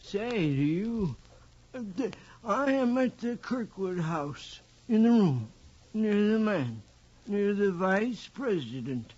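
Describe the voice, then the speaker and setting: On a dull 1950s radio recording, a simple, timid little man fumbles through his words. The voice is husky and high for a man, slow and stammering, with childlike repetition. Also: big pitch swings, a little mellow, exaggerated voice. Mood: anxious, confused. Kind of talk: 1950s radio drama acting.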